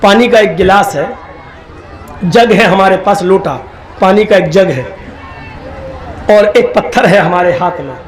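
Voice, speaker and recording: A male preacher delivering a sermon at a religious gathering, chanting Arabic prayers slowly and exhorting loudly between them. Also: high voice, a faint crowd. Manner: asks the audience questions directly. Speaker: Islamic preacher.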